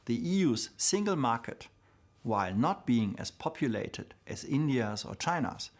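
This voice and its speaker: Man delivering formal prepared corporate remarks. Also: mid-range voice. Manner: formal, measured, deliberate. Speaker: corporate executive giving opening remarks. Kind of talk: read prepared speech.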